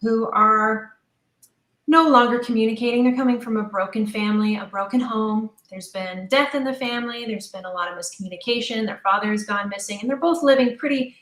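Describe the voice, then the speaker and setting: A woman talking as an interview guest about her creative work, enthusiastic, animated and emphatic. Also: on the high side, a little fast. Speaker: guest, audio drama creator. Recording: roomy, echoing sound.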